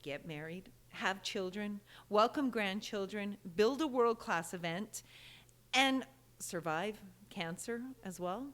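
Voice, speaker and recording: A woman hosting an interview podcast, enthusiastic and lively, breaking into laughter. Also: medium pitch, slow. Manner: enthusiastic, warm-toned interviewer with bursts of laughter. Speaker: podcast host.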